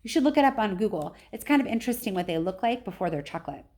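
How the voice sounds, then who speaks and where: A woman's voice: medium pitch, animated, rapid-fire, a little mellow. A woman reading a children's book aloud like a teacher, with casual explanatory asides.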